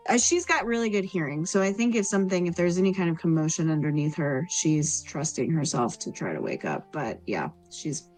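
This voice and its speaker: A woman's voice: sits low, expressive, fairly quick, few pauses, a little mellow, faint music behind. A woman improvising narration for her character in a tabletop roleplaying game.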